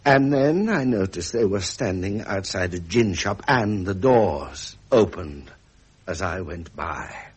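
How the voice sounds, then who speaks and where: An urbane middle-aged prince in a vintage radio drama. His upper-class British voice is very husky and muffled, and moves expressively up and down with wry feeling. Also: low voice, expressive, slow pace, full character voice. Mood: aggrieved. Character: frustrated, genial middle-aged prince and uncle. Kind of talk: period radio drama acting.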